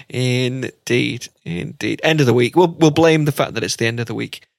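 A man's voice: medium pitch, a little slow, gravelly, dry recording. A man hosting a sports podcast, talking in an upbeat, chatty way with some fillers. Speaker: podcast host. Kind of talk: casual podcast chat.

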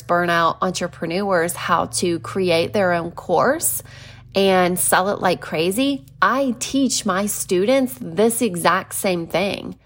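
Podcast host, woman in her 30s, stuffy and nasal from congestion, chatty and unhurried, fillers and small laughs throughout. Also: mid-range voice, a bit bright. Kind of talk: casual solo podcast chat.

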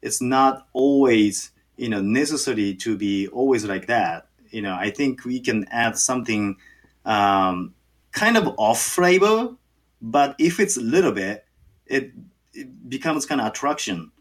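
A man with a Japanese accent, a native Japanese speaker, answering interview questions haltingly with many fillers and restarts. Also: mid-range voice, lively intonation. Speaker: sake brewer.

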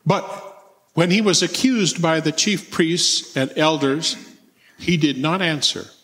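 A man's voice: on the high side, slow pace, fairly bright tone. A man reading a Bible narrative aloud as the narrator at church. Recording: long church reverb.

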